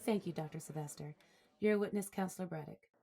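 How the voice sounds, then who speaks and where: A woman playing a businesslike prosecutor in a courtroom drama, formal and deliberate. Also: lowish voice, dark tone, a little hiss or hum, close to an everyday voice. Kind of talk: audio drama acting.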